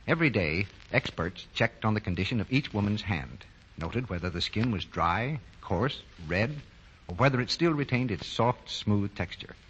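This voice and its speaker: A man's voice: fairly low pitch, animated, moderate pace, fairly bright tone, dull audio. An American man reading scripted commercials and credits as a 1940s radio announcer. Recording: noisy, band-limited 1940 radio broadcast.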